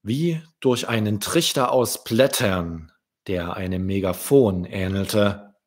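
A man's voice: lowish voice, takes it slowly. A man in his thirties from Germany reading his own children's story as a narrator, close to a microphone. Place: small wood-panelled room indoors. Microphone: desk microphone close in front.

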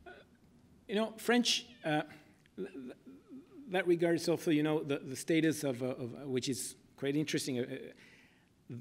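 A man's voice: a little high, moderate pace, long pauses. A middle-aged man speaking at a lectern in a lecture room, answering audience questions with many restarts and fillers. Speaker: speaker at an academic forum.

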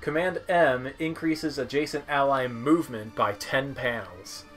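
A man commentates on a video game in a casual, musing manner. He speaks haltingly with a mid-pitched voice, over game music. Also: a bit bright. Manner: halting, musing, reads on-screen text aloud. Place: indoor room at home, seated.

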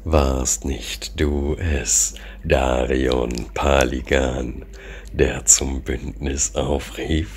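A man voicing a menacing, hooded dark warrior who proclaims slowly and grandly in a fantasy story. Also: a bass voice, steady tone, pitched below the performer's own voice, full character voice. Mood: grandiose menace. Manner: slow, ominous, grandiose proclaiming. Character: menacing hooded burly warrior servant of a great serpent. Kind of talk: dramatic fantasy story reading. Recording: clearly noisy background.

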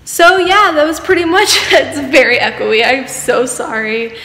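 A woman's voice: sits high, lively intonation, unhurried, bright, forceful tone. Chatty teen girl in an echoey empty house. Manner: chatty, rambling, filler-heavy. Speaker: vlogger. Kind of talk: casual house tour vlog. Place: empty house with bare walls, indoors. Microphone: phone held at arm's length. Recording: echoey empty rooms, faint room hum.

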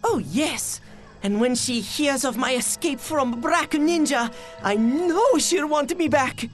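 Very high-voiced earnest Japanese scientist. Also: male voice, slow, faint music behind. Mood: lovelorn, hopeful. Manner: formal, clipped grammar without articles. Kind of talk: video game cutscene acting.

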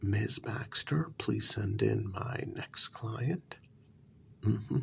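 A man doing a soft-spoken ASMR role-play as a smooth, flattering talent agent, with a breathy, gravelly half-whisper, pausing often and repeating words soothingly. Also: lowish voice, a little hiss or hum.

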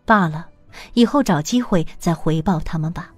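A woman narrating an audio drama in an even, measured storytelling voice. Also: lowish voice, husky, no room sound, faint music behind.

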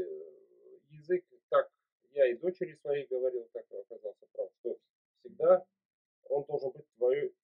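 A man in his forties chatting over a video call from a small room at home, giving fatherly encouragement. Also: mid-range voice. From Uzbekistan. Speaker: video chat host. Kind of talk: casual video chat. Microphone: webcam mic. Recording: tinny phone-like webcam audio.

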